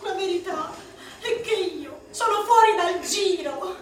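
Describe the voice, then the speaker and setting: A woman's voice: extremely high pitch, heavy reverb, far from the mic, faint music behind. A woman playing a wry struggling young actress. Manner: wry, quick punchlines, bursts into song. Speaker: stage performer. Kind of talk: live one-woman theatre monologue.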